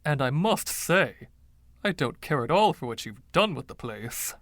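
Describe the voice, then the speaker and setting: A man's voice: fairly high pitch, big pitch swings, measured pace, fairly bright tone, no echo, studio quality. A man voicing the haughty, indignant ghost of a rich 1920s dandy, pompous and fussy, with old-fashioned slang, in a story reading. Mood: disdainful.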